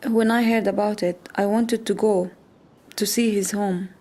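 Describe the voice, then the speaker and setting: A woman in her forties from Lebanon, narrating a documentary in a measured, plain-spoken way. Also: medium pitch, fairly even intonation, background noise.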